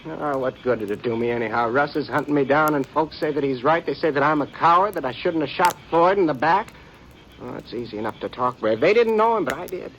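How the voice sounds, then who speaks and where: An American actor playing a nervous, defensive outlaw in an old radio western, stammering and wheedling in folksy rural speech. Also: male voice, a little high, brisk, fairly bright tone. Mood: defensive, aggrieved. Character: nervous, defensive outlaw turned informer, pleading for protection. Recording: noisy vintage radio drama recording.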